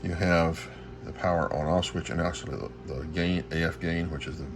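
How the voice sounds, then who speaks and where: A man giving an informal equipment walkthrough as a hobbyist presenter, speaking haltingly and searching for his words. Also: sits low, fairly even intonation. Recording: clearly noisy amateur recording.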